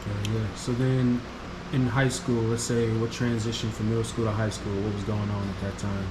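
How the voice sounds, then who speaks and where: A man's voice: on the low side, level tone, moderate pace, noisy background. A man hosting a casual interview, asking questions in an easygoing way with short affirmations. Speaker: interview host.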